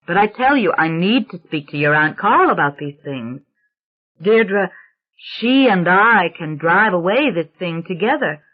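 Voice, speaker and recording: A patient priest coaxes a frightened child, soft and careful, read by a woman in close to her own voice. The sound is slightly muffled. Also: fairly low pitch, expressive, unhurried. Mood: coaxing. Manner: gentle, coaxing, careful. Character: earnest patient male priest, middle-aged. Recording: dull lo-fi recording.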